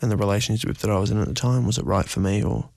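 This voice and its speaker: A man's voice: lowish voice, racing, vocal fry, a little mellow, no room sound, clean studio sound. A man in his twenties being interviewed, speaking personally in a hesitant, reflective way. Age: 20s.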